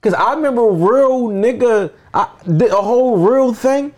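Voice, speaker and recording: A man, a guest who reasons out loud and questions with examples. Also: extremely high pitch, measured pace. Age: 30s. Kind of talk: casual chat.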